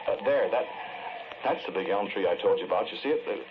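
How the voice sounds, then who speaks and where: An actor playing a sombre, earnest confessed killer in a 1940s radio drama, speaking in a measured, hesitant, brooding way. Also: male voice, lowish voice, lively intonation, lightly acted. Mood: remorseful, resolute. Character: sombre, earnest man confessing to killing the woman he loved. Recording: noisy, muffled 1940s radio recording.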